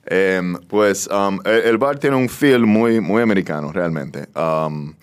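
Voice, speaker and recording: A man's voice: low-pitched, slow, clean tone. A Dominican man talking casually as an interview guest, dropping English phrases into his sentences. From Dominican Republic. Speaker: interview guest, craft brewery and bar owner.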